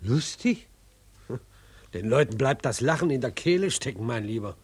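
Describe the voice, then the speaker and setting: An actor playing a pompous, patronizing theatre director, declamatory and condescending, in 1940s German radio drama style. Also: male voice, medium pitch, animated, measured pace, husky. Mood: lecturing. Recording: vintage 1940s radio recording.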